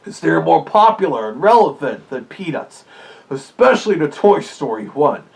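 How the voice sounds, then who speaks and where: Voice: medium pitch, very animated, moderate pace, vocal fry, a little mellow. A reviewer giving casual, scripted commentary in the character of a fuzzy cartoon animal, opinionated and prone to rambling asides. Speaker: video reviewer.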